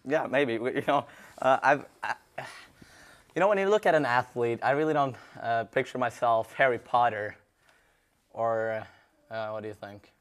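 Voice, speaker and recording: Young man, native Norwegian, college radio co-host, rambling, chatty. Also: mid-range voice. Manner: halting, rambling with fillers in chat. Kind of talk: casual chat and read announcements.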